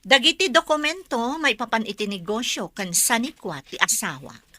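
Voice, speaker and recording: An actress with an Ilocano accent playing a greedy, bossy middle-aged aunt in a radio drama. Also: female voice, on the high side, sing-song, normal speed, sharp tone, full character voice. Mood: coaxing, sly. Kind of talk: Philippine radio drama acting.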